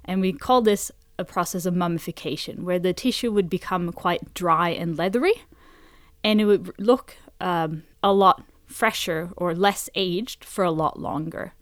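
A woman researcher from Norway with a fairly low voice, recorded dry with no room sound. She answers interview questions in a measured, factual, explanatory way. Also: no room sound. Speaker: forensic science researcher, interview guest.

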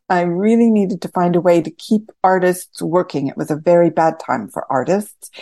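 A woman's voice: mid-range voice, dark tone, no room sound. A woman theatre director answering questions reflectively as an interview guest.

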